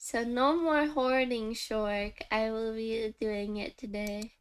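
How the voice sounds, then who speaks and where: A woman's voice: fairly high pitch, steady tone, slow pace, clean tone. A woman hosting a livestream, chatting casually in a cutesy manner. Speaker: livestream host, game streamer. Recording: background music under voice. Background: music.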